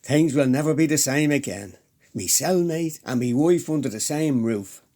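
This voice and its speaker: A man's voice: medium pitch, lively intonation, normal speed, mellow, lightly acted. An actor playing a hapless, wistful middle-aged ex-convict father with a Dublin accent, plaintive and evasive, in a comedy radio drama. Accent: Dublin Irish.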